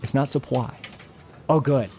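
A man playing a fussy office sales rep in an audio comedy, halting as he talks and given to sing-song cooing. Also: mid-range voice, warm tone, faint music behind, natural voice.

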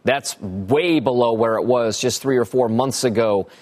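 A man's voice: medium pitch, unhurried, darkish tone. A man with an American accent anchoring a live business news broadcast, presenting and putting questions to guests.

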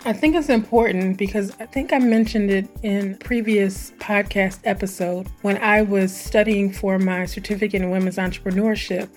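A woman hosting her own podcast, her voice hoarse and scratchy, talking in an animated, conversational way and drawing out words for emphasis. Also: medium pitch. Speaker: podcast host. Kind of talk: solo podcast intro.

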